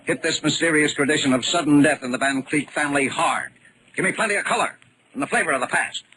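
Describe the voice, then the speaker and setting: An actor playing a brusque, commanding newspaper editor in a 1940s radio drama, businesslike and no-nonsense. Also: male voice, fairly high pitch, fast, sharp tone. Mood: stern, commanding. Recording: slightly noisy vintage radio recording.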